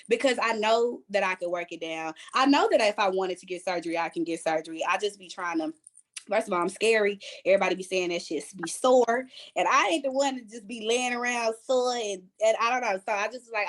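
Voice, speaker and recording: A woman speaks as a panelist in a loose, colloquial way. Her pitch sits in the middle. She is frank and slangy, with a joking streak in how she tells things. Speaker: panelist, performer. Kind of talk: candid, slangy panel talk.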